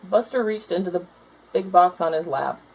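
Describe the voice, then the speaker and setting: A woman reading a children's storybook aloud in expressive narration. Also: fairly low pitch, moderate pace, vocal fry, slightly rough, poor audio.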